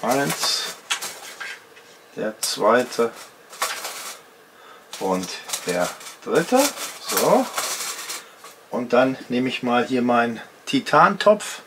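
A man's voice: medium pitch, sing-song, extremely slow, pauses often. Middle-aged man explaining gear, faint hiss. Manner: chatty, addresses viewers directly, explains step by step. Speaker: outdoor gear channel host. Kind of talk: casual gear demonstration vlog. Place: indoor home workshop at a workbench.

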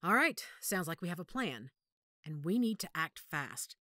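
A woman playing a commanding, calculating werewolf pack mother in an audio drama, deliberate and decisive. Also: fairly low pitch, very animated, bright, forceful tone, dry recording, clean studio sound.

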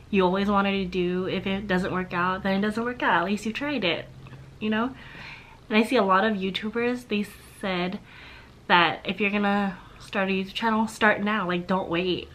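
A young woman in her twenties, a little hoarse from a sore throat, talking softly and haltingly to a camera in a small room at home. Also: mid-range voice, a little hiss or hum. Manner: soft-spoken, halting, with restarts. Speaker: vlogger. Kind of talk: casual talk to camera. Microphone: camera on a stand facing her.

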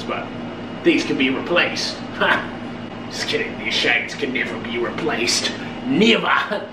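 A man acts out a boastful young Australian lad. He drawls slowly in an Australian accent, full of cocky swagger. The recording is roomy and a little distant. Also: mid-range voice, natural voice. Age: young adult. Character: cocky, laddish young Australian shades lover. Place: small home kitchen. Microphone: camera a few feet away. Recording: roomy, distant camera sound, faint hum.

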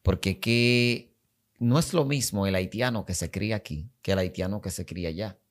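Emphatic Dominican man, lowish voice. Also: moderate pace. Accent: Dominican Spanish. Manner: emphatic, repetitive, gestures while talking. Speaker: podcast guest. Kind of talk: podcast conversation. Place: small studio set. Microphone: studio mic on boom arm.

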